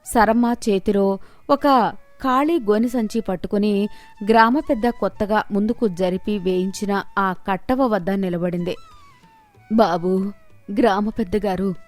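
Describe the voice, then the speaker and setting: A woman's voice: medium pitch, moderate pace. A woman delivering scripted narration of a moral story, with music behind her.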